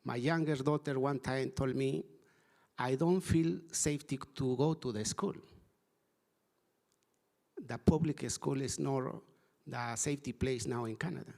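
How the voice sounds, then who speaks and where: Man, English, gravelly voice, middle pitch, halting earnest panel talk. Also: darkish tone. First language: Spanish. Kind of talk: panel discussion. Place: conference hall.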